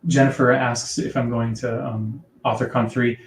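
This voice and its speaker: A man with a soft, sleepy-sounding voice, chatting casually as an author guest in a live interview. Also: medium pitch, level tone, moderate pace, a little hiss or hum. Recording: echoey room, distant from mic.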